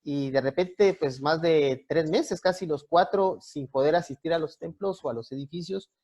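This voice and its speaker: Mellow Guatemalan pastor, dull muffled audio. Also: male voice, fairly high pitch, normal speed. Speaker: youth pastor, interview guest. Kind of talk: conversational interview, explanatory.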